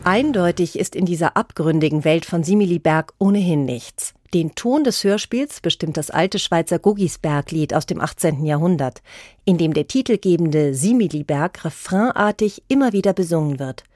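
Mellow, low-voiced woman reviewer. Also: animated, moderate pace, no room sound, clean studio sound. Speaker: radio reviewer. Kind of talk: scripted narration, cultural review. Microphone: close studio microphone.

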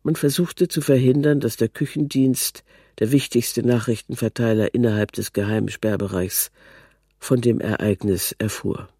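A man's voice: medium pitch, fairly even intonation, moderate pace, mellow, husky, dry recording, studio quality. A man narrating a scripted literary prose text.

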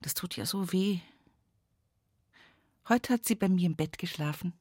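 A woman's voice: fairly low pitch, measured pace, darkish tone, husky, no echo, clean studio sound. An actress playing an older, pious, plain-spoken village woman with an Upper Palatinate Bavarian accent, reminiscing in a folksy radio play monologue. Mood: tender, aching. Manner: folksy, earthy dialect storytelling with emphatic repetitions.

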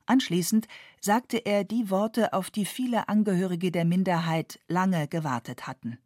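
A woman reading a scripted documentary narration in a measured, even way into a studio mic. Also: lowish voice, no room sound.

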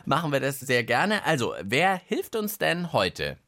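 A man co-hosting a science show for children in a lively, chatty way. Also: mid-range voice, measured pace, no room sound. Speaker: children's science podcast host.

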